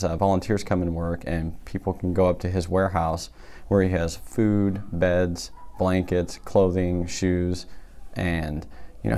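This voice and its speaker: A man's voice: deep voice, mellow. A man answering interview questions casually, listing things with fillers.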